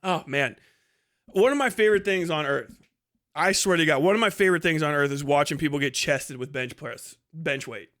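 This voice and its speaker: Animated, higher-voiced man swearing casually. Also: moderate pace. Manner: animated, profane, enthusiastic. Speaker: live streamer. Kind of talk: casual, rambling livestream chat.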